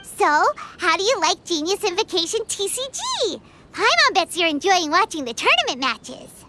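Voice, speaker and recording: A woman voicing a small, excitable fairy companion in a video game, with a squeaky childlike voice and chatty delivery. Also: normal speed, noisy background. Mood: reassuring, curious. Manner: chatty, exclamatory, refers to herself by name. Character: small excitable chirpy fairy companion, talks in third person. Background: game music.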